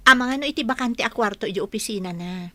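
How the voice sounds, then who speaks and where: Bright-voiced, watchful wife. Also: female voice, on the high side, fast, no room sound. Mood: suspicious. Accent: Ilocano. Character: watchful, questioning wife and mother, adult woman. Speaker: radio drama actor. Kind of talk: Ilocano radio comedy-drama acting.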